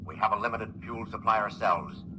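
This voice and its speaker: An actor playing a sober, authoritative spaceship commander speaking over a radio link in a 1950s radio drama. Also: male voice, fairly low pitch, a little fast, bright, forceful tone. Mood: grave, regretful. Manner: grave, authoritative. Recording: noisy vintage broadcast, filtered radio voice.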